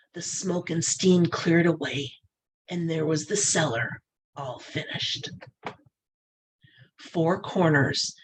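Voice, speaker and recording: A woman reading a children's picture book aloud as an expressive storyteller, with animated, deliberate emphasis. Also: on the low side, a little hiss or hum, low-quality recording.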